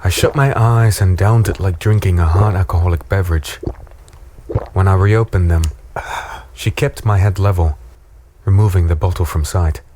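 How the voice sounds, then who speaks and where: A man playing a battered, weary human in a dark fantasy audio drama, wry and fatalistic as he tells his story. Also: sits low, moderate pace, a little hiss or hum, lightly acted. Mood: hushed recounting.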